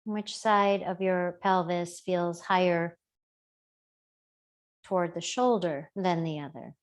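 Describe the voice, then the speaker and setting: A woman teacher gently guiding a body scan meditation in an unhurried, soothing way with long pauses. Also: on the low side, fairly even intonation. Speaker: yoga and body awareness teacher.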